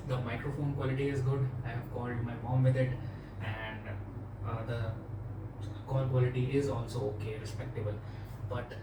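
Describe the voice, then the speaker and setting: Flat-toned Indian man in an echoey room. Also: mid-range voice. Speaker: vlogger reviewing a product. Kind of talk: casual product demonstration and review. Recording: echoey room, distant mic, clear background noise.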